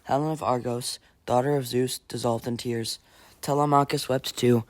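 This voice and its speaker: A man's voice: medium pitch, darkish tone, no room sound. A man reading epic poetry aloud as scripted audiobook narration, an amateur reader.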